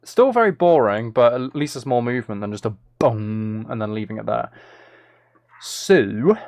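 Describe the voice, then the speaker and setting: Casual male streamer with creaky voice. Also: mid-range voice, expressive, moderate pace, darkish tone, soft background music. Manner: self-deprecating, hums and sings out rhythms. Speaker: live streamer making music. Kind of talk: casual livestream chat, thinking aloud while composing.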